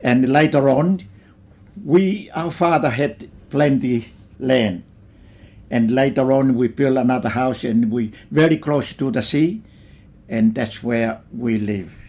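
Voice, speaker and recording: An older man from Tonga looks back on his early life in an interview. He speaks slowly and simply, with unhurried phrasing in a mid-range voice. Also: mid-range voice, darkish tone. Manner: slow, plain reminiscing with simple phrasing. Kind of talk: oral history interview.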